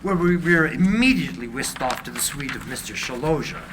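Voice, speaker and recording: A man's voice: medium pitch, lively intonation, fairly quick, natural voice. A man acting a jovial, wry ambassador telling anecdotes in a live script reading. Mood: amused. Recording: noisy live room recording.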